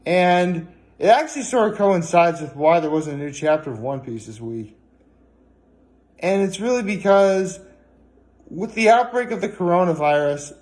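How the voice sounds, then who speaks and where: Male vlogger, pitched a bit high, conversational and hesitant, wandering delivery with halting pauses. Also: a little mellow. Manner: hesitant and rambling, with frequent pauses and fillers. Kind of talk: casual vlog talk.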